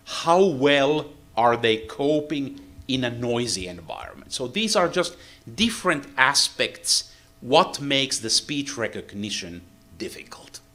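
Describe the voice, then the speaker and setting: Finnish-accented man giving a halting technical lecture. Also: mid-range voice, big pitch swings, pushed and bright. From Finland. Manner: halting, many "uh, uh" fillers. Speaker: seminar lecturer.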